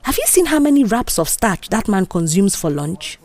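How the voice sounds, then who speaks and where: A woman voicing a bold, mischievous young office worker with a Nigerian accent, animated, dismissive and persuasive, in an audio drama. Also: mid-range voice, moderate pace, lightly acted. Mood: confident, brash. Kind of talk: audio drama character dialogue.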